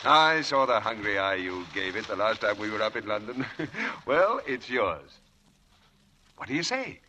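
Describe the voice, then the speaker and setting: A roguish, charming husband in a 1940s radio play. His delivery is theatrical and excitable, very forceful and wildly animated, always coaxing. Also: lowish voice, moderate pace, a little hiss or hum. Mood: exuberant. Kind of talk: 1940s radio drama acting. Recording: vintage radio drama broadcast.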